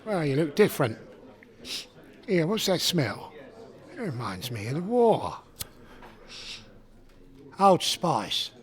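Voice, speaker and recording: A man's voice: on the high side, sing-song, slow, long pauses. A man playing a blunt, reminiscing elderly war veteran in a comic radio play. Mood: blunt, curious.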